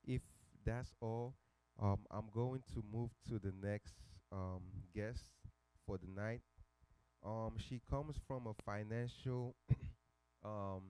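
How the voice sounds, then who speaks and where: A man hosts a church career night and introduces the guests. His voice is mellow and smooth, and the sound is dry and close. He speaks playfully, with some fillers. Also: mid-range voice, no room sound, poor audio. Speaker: event host. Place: church hall.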